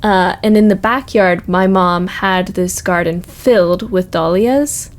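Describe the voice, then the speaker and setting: A woman's voice: on the low side, unhurried. A woman having a casual chat as a podcast guest, informal and agreeable. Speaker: podcast guest, university administrator.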